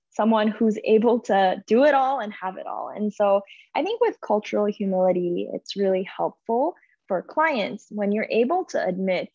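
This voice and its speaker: A woman's voice: mid-range voice, darkish tone, no echo. A young woman speaking as a webinar panelist, explaining things in an earnest, reflective manner. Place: indoor room, on a video call. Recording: dull video-call audio.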